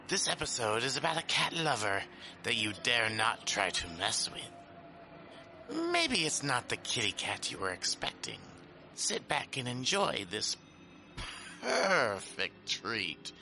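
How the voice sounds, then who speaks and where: A man hosting a horror radio show with spooky scripted narration, theatrical and drawn-out. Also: mid-range voice, gravelly, sharp tone, slight background noise.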